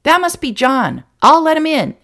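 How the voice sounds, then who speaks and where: A woman voicing an excitable young boy who whines and begs, high and pleading. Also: normal speed, exaggerated voice. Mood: eager whiny.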